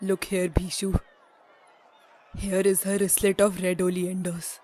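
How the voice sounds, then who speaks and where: A woman's voice: fairly low pitch, flat delivery, unhurried, warm tone. A woman playing a loyal, indignant rebel worker in a theatrical audio drama. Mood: mournful. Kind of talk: theatrical stage-play acting in an audio drama.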